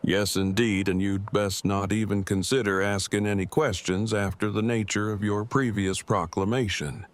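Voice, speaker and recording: A man's voice: fairly low pitch, steady tone, moderate pace, few pauses, lightly acted. A man playing a pedantic, earnest survivor in an audio drama, speaking in stiff, precise, formal phrases. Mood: formal, fussy.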